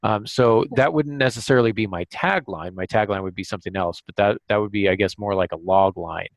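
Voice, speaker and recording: A man hosting a podcast, giving casual writing advice in a halting way, as if thinking aloud. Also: fairly low pitch, creaky, no echo. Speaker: podcast host and novelist. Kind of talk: casual instructional discussion.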